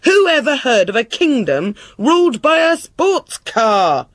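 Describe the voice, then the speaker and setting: A reader voicing an irascible, bossy old king in a storybook, shouting indignantly and emphatically. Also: medium pitch, big pitch swings, fairly quick, vocal fry, husky, dry recording, studio quality, full character voice. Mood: exasperated. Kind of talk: storybook character voice.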